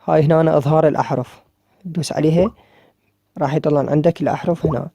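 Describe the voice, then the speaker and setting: A man with an Iraqi Arabic accent talks quickly through a screen tutorial, naming every tap again and again. His voice sits fairly high but has a dark, mellow tone. The sound is dry and close, with no room echo. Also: steady tone, vocal fry. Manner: repetitive, pointing out each tap with drawn-out "ah" fillers. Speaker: app tutorial maker.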